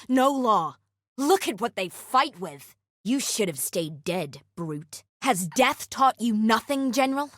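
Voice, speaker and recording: A woman voicing a solemn, honorable warrior in a video game, speaking in a formal, measured and earnest way. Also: fairly high pitch, no room sound, clean studio sound. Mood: scornful, defiant. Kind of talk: scripted game character dialogue.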